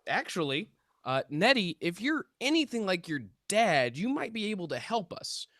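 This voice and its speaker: A man's voice: high voice, big pitch swings, normal speed, sharp tone, no room sound, studio quality, exaggerated voice. A man playing a breezy young stoner who works as an amateur paranormal investigator, offhand yet earnest, in a live table read.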